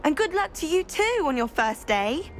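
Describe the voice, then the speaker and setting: A woman's voice: high voice, very animated, moderate pace, music in the background. A woman with a British accent playing an earnest, chatty teenage schoolgirl in a video game. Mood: eager, friendly. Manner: eager, chatty, friendly. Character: earnest, plant-loving teenage schoolgirl named Samantha. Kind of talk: video game dialogue acting.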